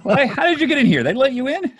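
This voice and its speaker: Breathy, lively male interviewer. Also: extremely high pitch, fast, no echo. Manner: loud, joking, laughs easily. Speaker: interviewer host. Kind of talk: casual interview questions.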